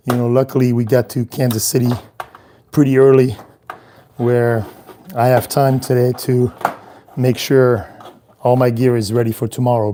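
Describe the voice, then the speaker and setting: A man who is losing his voice, talking casually in a hotel room about his travel work as a cinematographer, halting with many ums. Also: mid-range voice, dark tone, a little hiss or hum. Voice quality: hoarse. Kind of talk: casual vlog talk while unpacking gear.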